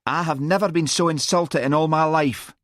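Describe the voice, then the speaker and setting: Guy doing a whiny scottish policewoman, sing-song and higher pitched, sour and contemptuous, audiobook style. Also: animated, moderate pace, no room sound, clean studio sound, close to an everyday voice. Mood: offended. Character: sour, contemptuous young policewoman, whining and accusing.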